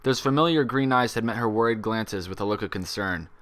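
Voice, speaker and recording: A man reading a story aloud as an expressive scripted narration. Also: lowish voice, a little fast, clear, resonant tone. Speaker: fan fiction reader.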